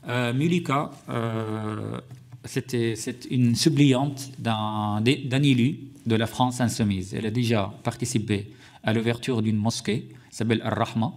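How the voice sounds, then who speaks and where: Gravelly-voiced man, hesitant testimony. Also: medium pitch, steady tone, measured pace, darkish tone. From Syria. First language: Arabic. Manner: hesitant, many fillers and restarts. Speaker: witness at a parliamentary hearing. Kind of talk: formal testimony. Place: parliamentary committee room.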